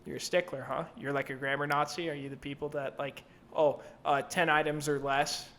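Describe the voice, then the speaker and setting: Brash, swearing young man, high voice. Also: level tone, a little fast, fairly bright tone, a little hiss or hum. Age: 20s. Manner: brash and profane, swears freely. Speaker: podcast co-host. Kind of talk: casual banter.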